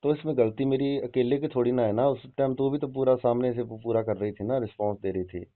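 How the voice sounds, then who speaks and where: A man's voice: medium pitch, steady tone, fairly quick, natural voice. A man playing an evasive older father-in-law in a dramatized phone call, stammering and repeating himself as he defends himself. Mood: flustered, accusing. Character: evasive older father-in-law dodging blame, pleading and cajoling. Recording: dull lo-fi phone-call audio.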